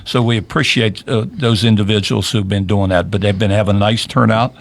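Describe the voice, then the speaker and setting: A man with a lowish voice hosts a local political radio chat. He rambles conversationally, restarting his sentences as he goes. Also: fairly even intonation, fairly quick, creaky. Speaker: radio show host.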